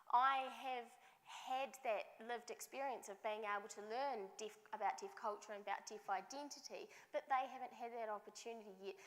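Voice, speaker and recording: A woman's voice: mid-range voice, fairly bright tone. New woman interpreting on a stage. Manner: measured, with frequent restarts and self-corrections. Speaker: sign language interpreter voicing a deaf speaker. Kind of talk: live voiced interpretation of a festival talk. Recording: long hall reverb.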